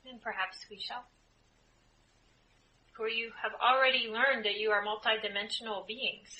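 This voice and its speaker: A woman leading a guided group meditation as a spiritual channeler, speaking in measured, deliberate phrases with frequent pauses. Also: fairly high pitch, fairly even intonation, sharp tone, low-quality recording. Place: indoor room, live group setting. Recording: live group room, clear background noise.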